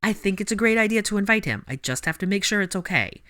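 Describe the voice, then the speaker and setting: A woman's voice: medium pitch, lively intonation, fast talker, fairly bright tone, voice pushed up, close to an everyday voice. A woman voicing an earnest, slightly awkward young wizard, close to her own voice.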